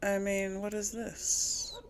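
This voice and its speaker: A woman's voice: medium pitch, completely flat delivery, slow, noisy background. A woman giving casual commentary on a game she is playing, thinking aloud and often questioning herself. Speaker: gamer hosting a role-play playthrough.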